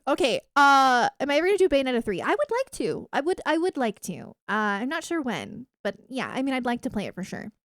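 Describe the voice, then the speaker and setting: A woman's voice: high voice, very animated, a little fast, hardly any pauses, a bit bright, no room sound, studio quality. A woman livestreamer chatting casually with her viewers in an enthusiastic, emphatic gamer way. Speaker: video game livestreamer.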